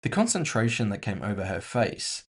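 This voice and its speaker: A man reads scripted audio fiction as a first-person narrator. His voice sits on the low side as he tells the story. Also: big pitch swings, moderate pace, breathy edge.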